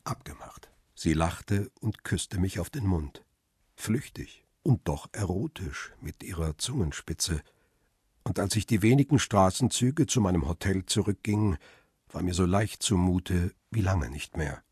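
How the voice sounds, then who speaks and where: An actor playing a middle-aged, world-weary male photographer who narrates a radio crime drama in a measured, reflective way. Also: low-pitched, expressive, darkish tone, slightly rough, dry recording, studio quality, heavily acted. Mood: reflective, tender. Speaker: first-person narrator and lead character.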